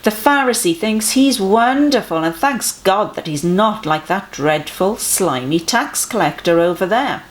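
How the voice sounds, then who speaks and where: Lady reading as a friendly earnest teacher guy from a kids storybook, lively pitch, gently explaining stuff. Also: measured pace, few pauses, lightly acted. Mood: storytelling. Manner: emphatic, gently instructive.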